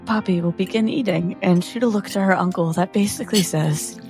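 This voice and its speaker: A woman's voice: on the low side, brisk. A woman playing a tabletop roleplaying game, improvising narration of her character's actions. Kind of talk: improvised third-person narration and in-character play. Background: faint background music.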